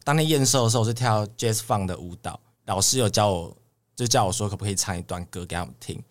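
A man's voice: lowish voice, quick pace. A young man with a Taiwanese Mandarin accent, telling stories casually as an interview guest. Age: young adult. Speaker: guest, idol trainee.

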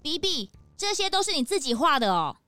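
A cheeky young detective's assistant chatters in an extremely high voice. The delivery is excitable and swings widely in pitch, as in a children's mystery play with music behind. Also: female voice, fairly quick, no room sound, full character voice. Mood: curious. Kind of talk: children's audio mystery drama.

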